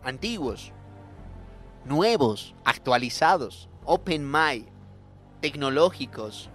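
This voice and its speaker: A man's voice: medium pitch, animated, slow pace. A young man hosting a comedic radio monologue in a theatrical, playful way, repeating his words. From Bolivia. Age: young adult. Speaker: radio host, comic monologist. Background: loud music bed.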